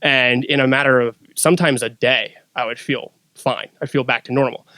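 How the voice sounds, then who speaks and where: A man's voice: mid-range voice, steady tone, normal speed, bright, forceful tone, dry recording, low-quality recording. A man speaking as a podcast guest, casually telling a personal story.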